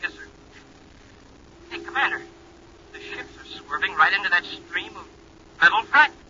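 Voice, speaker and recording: An actor playing an eager, jumpy young male space cadet in a 1950s radio drama, with excitable exclamations. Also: high-pitched, moderate pace. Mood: alarmed. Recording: muffled old radio with loud hiss.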